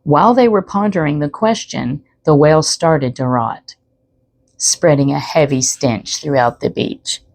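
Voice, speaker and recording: Low-pitched woman reading stories aloud. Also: unhurried, a little mellow, no echo. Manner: chatty asides to the listener. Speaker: storyteller. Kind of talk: expressive read-aloud narration.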